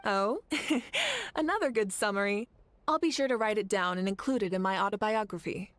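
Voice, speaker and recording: A woman voicing a confident, carefree, teasing warrior leader in a video game, self-assured and measured. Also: mid-range voice, animated, a bit bright, dry recording, close to an everyday voice. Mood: amused.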